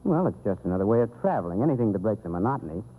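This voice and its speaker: A man's voice: on the low side, very animated, racing, slightly rough. A man playing the straight man in a 1930s radio comedy, explaining things with patient exasperation. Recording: tinny 1930s radio recording, music.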